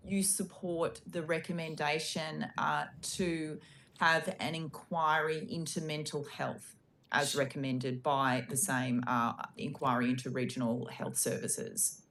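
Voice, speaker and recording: An Australian woman on a committee, questioning a minister in a halting, pressing way full of fillers. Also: low-pitched, steady tone, fairly bright tone, a little hiss or hum. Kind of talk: parliamentary questioning. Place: parliamentary committee hearing room.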